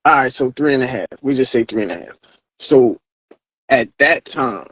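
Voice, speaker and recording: A man's voice: mid-range voice, expressive, normal speed, husky, dry recording, phone-quality sound. A man in his thirties, hosting a casual podcast chat, repeating words as he builds his point. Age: 30s. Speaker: podcast host.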